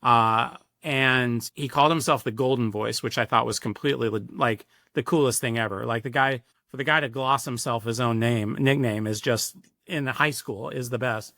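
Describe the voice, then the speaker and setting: A man's voice: mid-range voice, normal speed, sharp tone, no echo, studio quality. A middle-aged American man, a sports announcer, telling stories in a casual, reflective interview with hesitant, conversational phrasing. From Sacramento, California, USA. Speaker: sports public address announcer, podcast guest.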